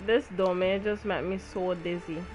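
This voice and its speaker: A woman's voice: fairly low pitch, steady tone, normal speed, highs cut off, over music. A woman streaming a video game, commenting casually in slang and exclaiming as she reacts aloud. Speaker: gaming streamer.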